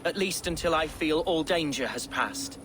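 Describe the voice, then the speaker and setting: An actor playing an earnest young alderman in a game cutscene, speaking formally and warmly to an ally. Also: on the high side, expressive, moderate pace, a little hiss or hum. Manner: formal, heartfelt, self-deprecating. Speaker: newly named alderman of a shire. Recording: game cutscene with loud music.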